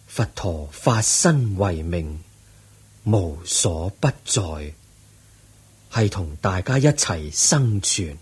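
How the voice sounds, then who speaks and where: An actor playing the aged Buddha in a radio drama, a serene and patient teacher speaking solemn Hong Kong Cantonese in a husky voice. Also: male voice, low-pitched, lively intonation, exaggerated voice. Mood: calm, solemn. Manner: solemn, measured teaching delivery.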